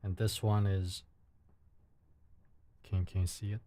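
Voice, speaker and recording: A man's voice: sits low, level tone, slow, warm tone. A young man from China, a native Mandarin speaker with a Chinese accent, chatting casually on a late-night livestream and often repeating words as he searches for English. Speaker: livestream host. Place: indoors at home, late at night.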